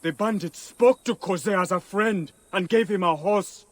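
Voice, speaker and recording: An actor playing a battered, blunt gladiator in a video game, speaking in a strained, emphatic way. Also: male voice, sits high, measured pace, no room sound. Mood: angry. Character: battered, angry male gladiator, loyal and blunt. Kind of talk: dramatic game acting.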